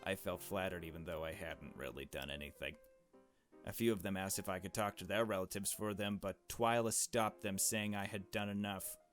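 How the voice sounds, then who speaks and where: A man's voice: low-pitched, steady tone, moderate pace. A man reading a visual novel game aloud in a casual let's play style, dropping in his own asides. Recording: music playing behind the voice. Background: game music with strings.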